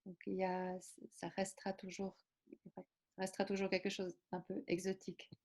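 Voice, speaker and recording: A woman's voice: mid-range voice, completely flat delivery, moderate pace, a little mellow. A woman giving consecutive interpretation, hesitant with many fillers and restarts.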